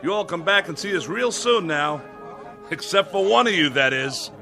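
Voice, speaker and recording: A man's voice: high voice, lively intonation, normal speed. An actor playing a wry, genial American diner counterman in a radio drama, with a folksy manner. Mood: jovial. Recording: music bed under the dialogue.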